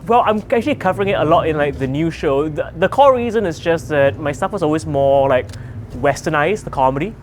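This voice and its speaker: A comedian from Singapore, a man, chatting as an interview guest with a quick, jokey manner and deadpan asides, recorded outdoors on a rooftop. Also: fairly high pitch, slight background noise. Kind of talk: casual interview chat.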